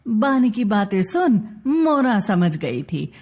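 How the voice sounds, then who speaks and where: Slow, animated woman telling a bedtime story. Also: mid-range voice. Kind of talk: expressive scripted children's bedtime-story narration.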